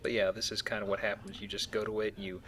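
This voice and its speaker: A man's voice: on the low side, flat delivery, quick pace, fairly bright tone, a little hiss or hum. A man hosting a let's play, explaining things casually with a joking manner. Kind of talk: casual game commentary.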